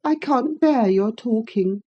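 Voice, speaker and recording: A woman's voice: fairly high pitch, animated, slow, warm tone, muffled recording, voice pushed up. A woman voicing a peevish, self-pitying, sickly boy with a whining, pettish manner in an audiobook story.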